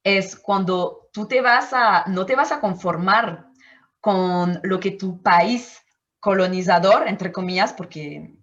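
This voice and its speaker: A woman's voice: mid-range voice, takes it slowly, a bit bright. Martinican woman, French native, interview guest, reflective, searching for words, dull lo-fi sound. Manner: thoughtful, searching for words, frequent restarts. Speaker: anthropologist guest.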